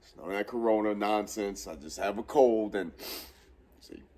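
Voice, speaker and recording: A man's voice: fairly low pitch, moderate pace, mellow. A man in his thirties with a cold and a stuffy nose, hosting a casual shop tutorial in a large fabrication shop and rambling with frequent self-corrections. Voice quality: congested, nasal. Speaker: host of a truck build series. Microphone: camera on a tripod at mid distance.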